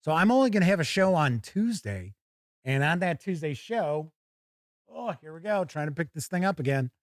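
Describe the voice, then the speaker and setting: A man with an American accent and a fairly high-set voice hosts a casual live stream. He chats colloquially, leaning on his words for emphasis. The sound is close and dry, with very little room in it. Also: sing-song, normal speed, dry recording. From United States. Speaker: YouTube live stream host.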